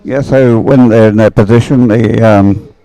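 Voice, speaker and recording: A male sports commentator with a fairly low, mellow voice and a noticeable gravelly creak. He calls boat races live in an unhurried, explanatory manner, often hesitating as he searches for the next detail. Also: fairly even intonation, dry recording, poor audio. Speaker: race commentator.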